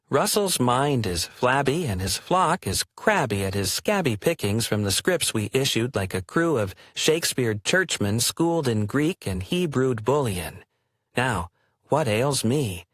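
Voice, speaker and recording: A man's voice: mid-range voice, big pitch swings, measured pace, fairly bright tone, no room sound, studio quality. A man performing theatrical spoken-word poetry in a wry, declamatory way.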